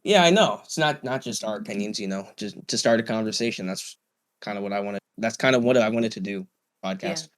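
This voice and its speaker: A man's voice: fairly low pitch, a little fast. A young man hosting a casual podcast chat, swearing often and restarting his sentences. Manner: swears a lot, stammering restarts. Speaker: podcast host.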